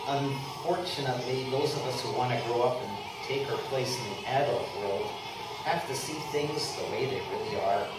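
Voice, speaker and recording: A man's voice: mid-range voice, normal speed, natural voice. A man playing a naive, earnest young reporter narrating a mock documentary radio play. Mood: mock-serious. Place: convention room, live stage performance. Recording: very echoey, noisy live room, distant mic.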